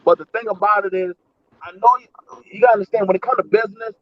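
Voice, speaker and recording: High-voiced animated man on a dull line. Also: quick pace, dry recording. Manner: animated, repeats and restarts words. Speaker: panelist. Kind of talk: casual debate chat.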